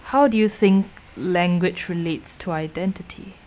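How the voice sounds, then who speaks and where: Young Singaporean woman, lower voice, musing casually, lots of "like". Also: moderate pace, darkish tone. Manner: thoughtful, hesitant, many fillers. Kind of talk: casual conversation.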